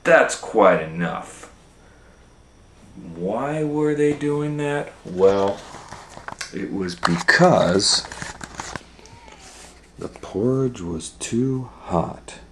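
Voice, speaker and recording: A man's voice: medium pitch, lively intonation, drawn-out words, pauses often, slight background noise. A man reading a picture book aloud to children, with folksy chatty asides and questions to listeners. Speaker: storytime reader and educator. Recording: roomy, echoing room sound.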